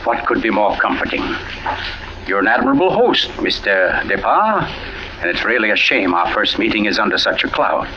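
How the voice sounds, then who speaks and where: An actor playing a suave, self-satisfied mystery writer in 1940s radio drama, telling a murder tale in an urbane, theatrical manner with savored pauses. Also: male voice, fairly low pitch, lively intonation, pushed and bright, a little hiss or hum. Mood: urbane, sly. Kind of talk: 1940s radio drama acting, suspenseful storytelling. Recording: dull vintage radio recording with music.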